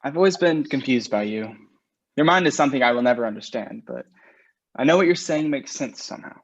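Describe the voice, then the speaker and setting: A man's voice: mid-range voice, lively intonation, brisk. A man playing a bitter, cursing groom. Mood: weary. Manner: sharp, sarcastic, swears often. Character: bitter, cursing groom on his wedding day. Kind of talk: staged play reading over video call. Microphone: video call. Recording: muffled video call audio.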